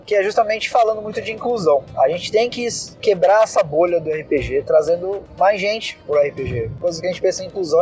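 Man, Brazilian accent, high voice, casual explanatory talk, earnest, music behind. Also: darkish tone, lo-fi sound. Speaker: game designer, podcast guest.

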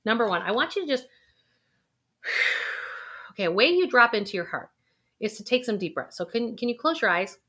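A woman coaching viewers through a guided intuition exercise, with soft sighs and a calm, unhurried delivery. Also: a little high. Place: indoors, recording a video.

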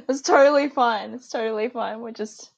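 A woman's voice: high voice, vocal fry, a bit bright, breathy edge. A young Australian woman hosting a podcast in an upbeat, giggly, chatty manner. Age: young adult. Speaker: podcast host. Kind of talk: casual podcast chat. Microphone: remote call audio.

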